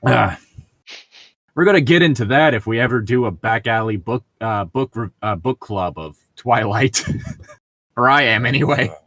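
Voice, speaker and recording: A man's voice: mid-range voice, expressive, moderate pace, a bit bright, no room sound. A man co-hosting a casual fan fiction reading, riffing in a profane, sardonic way. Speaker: podcast co-host reading fan fiction aloud.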